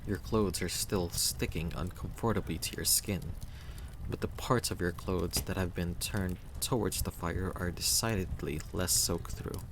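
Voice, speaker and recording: Male story narrator, low register, unhurried delivery, reading game text, faint background noise. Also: steady tone.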